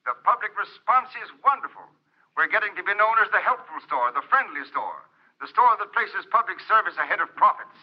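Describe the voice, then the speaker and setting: High-pitched, blustery store boss. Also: male voice, animated, fairly quick. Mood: delighted, emphatic. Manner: pompous, emphatic, clipped. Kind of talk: 1940s radio acting. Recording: muffled vintage radio, telephone-like sound.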